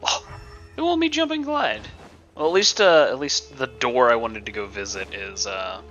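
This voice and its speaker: A man gives casual, sarcastic commentary as he streams a game. His voice is bright and very animated in pitch. Faint game music plays behind him. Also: moderate pace, dull audio. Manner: sarcastic, joking. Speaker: livestreamer.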